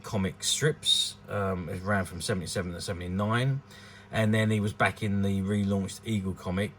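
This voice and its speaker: A man giving an unscripted showcase commentary on a collectable, with a hesitant, halting delivery. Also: deep voice, a little hiss or hum. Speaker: vintage toy collector presenting an item.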